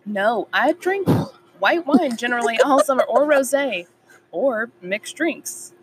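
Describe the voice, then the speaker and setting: A woman's voice: on the high side, animated, unhurried, crowd noise, low-quality recording. A woman co-hosting a casual podcast, chatting playfully and teasing while tasting wine on an outdoor patio. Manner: playful, teasing, laughs often. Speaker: podcast co-host.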